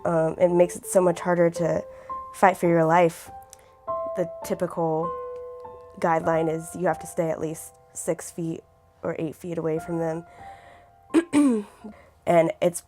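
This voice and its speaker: An eighteen-year-old woman with a raspy voice who keeps clearing her throat, speaking earnestly and reflectively in a documentary interview. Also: fairly low pitch, level tone, measured pace, pauses often, over music. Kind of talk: personal documentary testimony.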